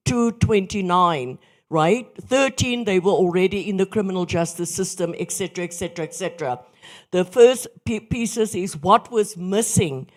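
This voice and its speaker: A middle-aged person originally from South Africa, making a halting, emphatic comment from the audience at a conference session. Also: mid-range voice, darkish tone. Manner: halting, repeats words, emphatic. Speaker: audience member.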